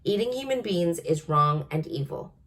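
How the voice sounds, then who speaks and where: Kindly storybook giant, read by a woman: gentle, earnest, dignified, innocent manner. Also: on the low side, lively intonation, normal speed. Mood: dignified, disapproving. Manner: earnest, innocent, quietly disapproving.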